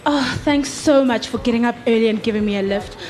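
A woman's voice: on the high side, brisk, a little mellow, lightly acted. A woman playing a chatty, exasperated young radio station employee in a live radio drama. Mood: chatty, harried.

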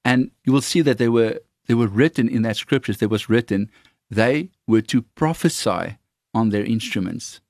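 A man with an Afrikaans accent who trains worship leaders, talking earnestly and haltingly with many fillers. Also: medium pitch, darkish tone, no echo, studio quality. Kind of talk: casual conversation on faith.

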